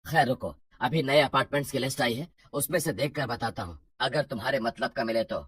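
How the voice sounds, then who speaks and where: A woman voicing a condescending male property broker, matter-of-fact and bossy, in an animated horror story. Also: rumbling low, brisk, breathy edge, no echo, studio quality, pitched below the performer's own voice, heavily acted. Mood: brisk, impatient.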